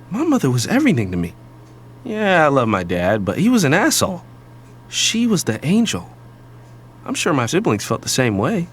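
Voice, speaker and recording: A man playing a wry, expressive adult son who narrates an audio drama in the first person. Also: mid-range voice, slight background noise. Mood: indignant. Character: wry adult son, doctor, eavesdropping on his parents.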